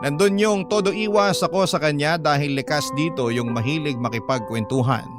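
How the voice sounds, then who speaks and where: A man reads as a discontented Filipino partner confiding in a radio drama. His voice sits slightly high, and the narration is dramatic. Music plays clearly behind it. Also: moderate pace, close to an everyday voice. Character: discontented live-in partner confessing to a radio host. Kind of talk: dramatic first-person letter narration.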